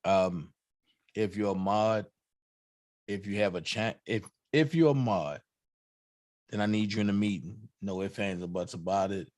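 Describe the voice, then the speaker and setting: Hoarse deep-voiced guy rambling on his livestream, hyped shout-outs, dead dry mic. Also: measured pace, long pauses, dry recording. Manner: loose, hyped shout-outs to fellow channels. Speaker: livestream host. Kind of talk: casual livestream chat.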